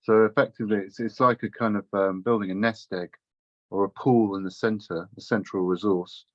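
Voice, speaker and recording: Low-voiced man, halting and dry. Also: fairly even intonation, dry recording, lo-fi sound. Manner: halting, many fillers and restarts. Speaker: community project co-founder. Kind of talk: casual video call chat.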